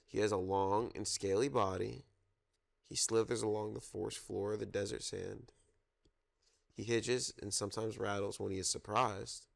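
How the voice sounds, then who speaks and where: A man reads a children's book aloud in a fairly low voice with a lot of fry. He takes it very slowly, pausing to let listeners guess along with him. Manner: interactive, pauses to prompt listeners for guesses. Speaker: storybook reader at a children's story time.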